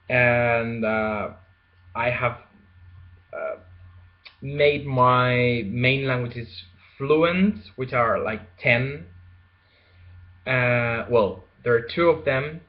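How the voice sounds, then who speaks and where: Slow, hesitant young man on lo-fi audio. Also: mid-range voice. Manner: hesitant, many fillers and restarts, slow. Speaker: language learner vlogger. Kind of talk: casual unscripted monologue. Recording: dull lo-fi home video audio.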